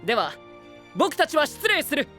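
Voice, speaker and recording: Casting: gentlemanly young knight, formal and courteous, melodic anime drama delivery over music. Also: male voice, extremely high pitch, very animated, moderate pace, heavily acted.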